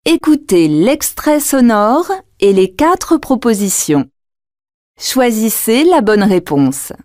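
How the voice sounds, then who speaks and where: Slow, lively-pitched woman narrator. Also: no room sound, studio quality. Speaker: language test narrator. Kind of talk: scripted exam narration and dialogue reading.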